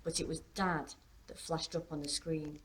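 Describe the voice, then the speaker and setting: Casting: female author-narrator, low-voiced, expressive storytelling for a young adult novel. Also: normal speed, low-quality recording. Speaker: author reading own work.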